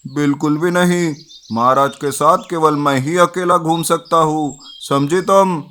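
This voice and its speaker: A man's voice: fairly high pitch, fairly even intonation, measured pace, pushed and bright. A man voicing an arrogant, dismissive bear with a haughty, scornful manner in a children's animal story.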